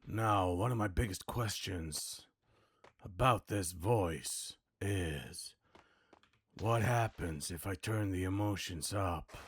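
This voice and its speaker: A man's voice: low voice, slow pace, slight background noise. A man who is a voice actor, casually commenting on his own voice practice.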